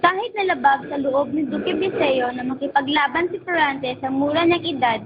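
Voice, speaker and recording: A woman's voice: sits high, moderate pace. A woman reading scripted narration for an amateur radio drama. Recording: dull lo-fi recording with clear noise.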